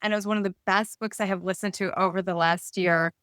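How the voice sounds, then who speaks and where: A woman's voice: mid-range voice, fairly even intonation, fast, fairly bright tone, smooth, no room sound, clean studio sound. A woman speaking enthusiastically and effusively as a guest author in a casual interview.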